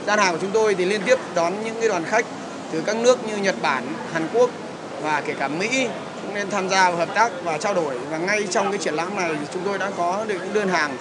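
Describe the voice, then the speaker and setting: A man in his thirties, a company director, speaking in a rapid, businesslike way in a trade fair interview at a booth. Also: high-pitched. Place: exhibition hall booth indoors. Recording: loud exhibition hall noise.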